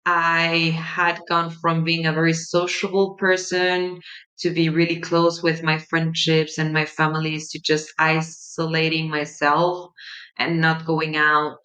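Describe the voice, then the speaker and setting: A young woman with a Mexican Spanish accent speaks slowly, with lots of room between her phrases. Her voice sits a little low. She hosts a reflective personal podcast in a soft, caring tone. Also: flat delivery, rough recording. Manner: gentle, warm-hearted, unhurried with soft pauses. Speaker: podcast host.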